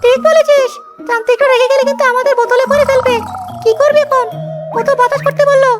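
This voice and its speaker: A performer voicing a gullible cartoon ghost, menacing yet easily cowed, with loud music over the voice. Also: extremely high pitch, flat delivery, quick pace. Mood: frightened awe. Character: small, dim, gullible, squeaky cartoon village ghosts. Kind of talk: cartoon story voice acting.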